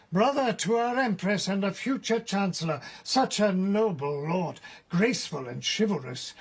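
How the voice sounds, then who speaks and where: An actor playing a deluded imprisoned nobleman of old Japan in a radio drama, theatrical and bitterly wistful, with drawn-out phrases and hushed whispers. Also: high voice, husky, exaggerated voice.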